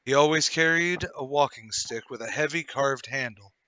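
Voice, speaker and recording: A man playing a hearty, brash English-gentleman type with theatrical banter in a radio play table read. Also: mid-range voice, moderate pace, no echo, lightly acted. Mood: grave, urgent. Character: cheery, brash gentleman brother-in-law with hidden cunning.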